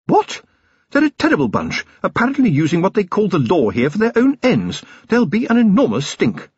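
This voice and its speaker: A man performs an aristocratic middle-aged wife for an audiobook. The voice is high and husky, with lively swings of pitch. She is polite but forceful and emphatic, with brisk affection. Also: no room sound, lo-fi sound, heavily acted. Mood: indignant, urging. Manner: emphatic, polite, upper-class phrasing. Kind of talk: audiobook character voice.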